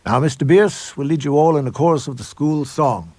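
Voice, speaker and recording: Man voicing a pompous, sinister headmaster, pitched high. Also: brisk, a little mellow, no echo, close to an everyday voice. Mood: brisk, callous. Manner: theatrical, declamatory, grandiose. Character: proud, pompous, sinister older male school headmaster.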